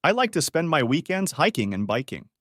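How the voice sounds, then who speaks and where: A man teaching beginner English, reading short model sentences clearly and saying each one twice. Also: a little high, big pitch swings, a bit bright, dry recording, clean studio sound. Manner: says each phrase twice, clearly and deliberately.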